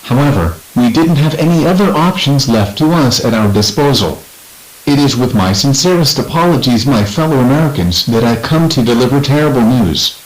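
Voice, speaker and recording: A man playing a regretful American President, giving a formal, measured address to the nation in an outbreak drama. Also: mid-range voice, lightly acted, poor audio. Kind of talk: formal scripted televised address.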